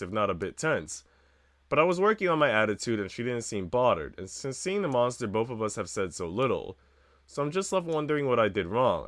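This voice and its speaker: A man's voice: fairly low pitch, fairly bright tone, no room sound. A man reading a visual novel aloud as a streamer, narrating the script and dropping in slangy, joking asides.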